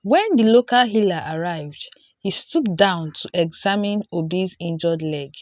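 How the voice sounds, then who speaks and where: A woman with a Nigerian accent narrating a scripted folktale in a deliberate, dramatic storytelling manner. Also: lowish voice, animated, no room sound, clean studio sound.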